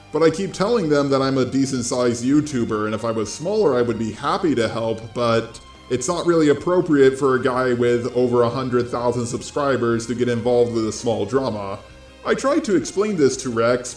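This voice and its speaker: A man's voice: mid-range voice, a little mellow. A man speaks in a middle-range voice with noticeable room echo. He narrates like an online commentator, working through a scripted but informal take. Faint music plays underneath. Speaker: YouTube drama commentator.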